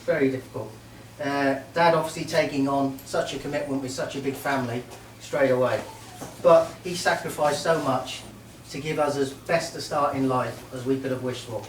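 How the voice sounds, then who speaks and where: A middle-aged man from Merseyside giving a halting, joking best man's speech at a wedding reception. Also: medium pitch, steady tone. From Merseyside, England. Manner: warm, joking, halting with many ums. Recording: roomy hall, mic far off, faint noise.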